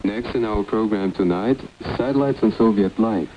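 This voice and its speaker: A man's voice: on the low side, normal speed, faint music behind. A man announcing on a 1980s international shortwave service from a script. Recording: dull noisy 1980s shortwave recording.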